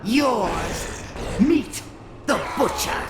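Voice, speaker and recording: A woman playing a cruel, mocking witch villain in a video game, taunting theatrically and slowly. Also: a little high, lively intonation. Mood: gloating, threatening. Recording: echoey, loud noise behind.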